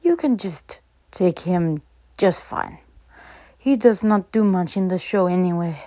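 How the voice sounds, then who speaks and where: A woman's voice: fairly low pitch, animated, measured pace, mellow, no room sound. A woman playing a genial, insistent theater owner in an audio drama, persuasive, on a dull recording.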